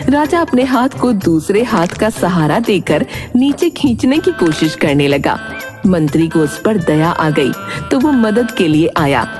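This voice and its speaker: A woman's voice: fairly low pitch, big pitch swings, unhurried. A woman narrating a children's cartoon story from a script. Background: music.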